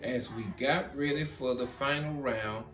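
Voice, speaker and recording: A man reading a children's storybook as narrator, animated and with dramatic pauses. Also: medium pitch. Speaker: author reading own children's book. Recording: roomy echo, guitar music behind.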